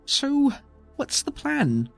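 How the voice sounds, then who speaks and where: A man voicing a gruff, tired adult woman pilot with a curt, teasing manner. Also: very high voice, huge pitch swings, very slow, faint music behind, voice pushed up, heavily acted. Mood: wry.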